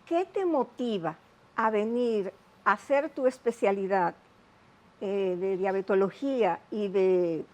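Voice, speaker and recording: A woman hosting a TV health interview show, speaking in a measured, explanatory way with many asides. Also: a little high, a little mellow.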